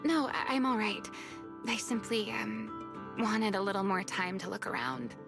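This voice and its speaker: A shy polite girl in a game, soft, bit of creaky fry, loud bg music. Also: mid-range voice, a little slow. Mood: evasive, subdued. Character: soft-spoken, hesitant, polite young woman.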